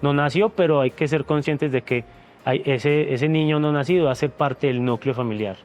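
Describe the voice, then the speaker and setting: A man's voice: fairly high pitch, normal speed, darkish tone, faint music behind. A man, speaking in an emotional interview in an earnest, reflective way and repeating words as he searches for them. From Venezuela, raised in Colombia. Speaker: interview guest, former reality show contestant.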